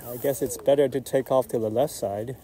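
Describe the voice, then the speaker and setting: A man flying a model jet outdoors, calmly calling out each maneuver in short phrases as he flies. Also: medium pitch, brisk, slight background noise. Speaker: RC jet pilot. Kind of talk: live flight commentary. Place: outdoors at an RC airfield.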